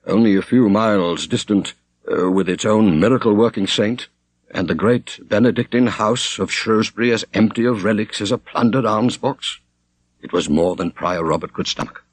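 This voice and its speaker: A man narrating an audiobook in a measured, expressive storytelling style with formal diction. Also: lowish voice, slightly rough, dry recording. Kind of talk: scripted literary narration, storytelling.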